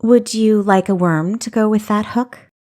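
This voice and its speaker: A woman's voice: medium pitch, measured pace, a little mellow, pitched above the performer's own voice, lightly acted. A woman voicing a defiant, witty female thief in an audiobook, scoffing and playfully sarcastic. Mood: mischievous, sarcastic. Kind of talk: audiobook character acting.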